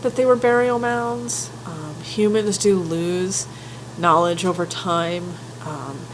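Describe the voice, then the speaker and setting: A woman with a stuffy head cold giving an informal spoken lecture, careful and candid, often pausing with ums and restarting her sentences. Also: fairly low pitch, a little hiss or hum, rough recording. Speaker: folklore writer and teacher.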